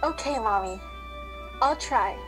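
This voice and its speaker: A woman's voice: on the high side, lively intonation, slow, over music. A woman playing a timid young cookie girl in a children's radio play, earnest and plaintive. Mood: scared.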